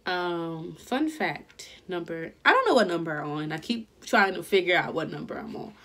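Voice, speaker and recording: A young woman talks straight to her camera from a small bedroom in a loose, chatty vlog. She is playful and keeps jumping up in pitch as she acts out stories. Now and then she drops to a whisper for her little secrets. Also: lively intonation, moderate pace, a bit bright. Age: young adult. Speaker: vlogger. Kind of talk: casual chat to camera.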